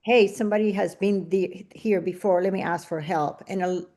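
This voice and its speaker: A woman's voice: mid-range voice, fairly even intonation, moderate pace, dark tone. A middle-aged woman from Venezuela with a Venezuelan Spanish accent, hosting a podcast interview and reflecting in long, winding sentences. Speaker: podcast host. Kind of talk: casual interview conversation.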